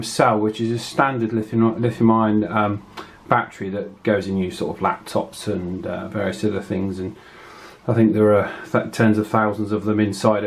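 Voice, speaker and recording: Man giving a casual, hesitant gadget review. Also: fairly low pitch. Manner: hesitant, unscripted, pausing often mid-phrase. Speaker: radio review channel host. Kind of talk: casual hands-on product review.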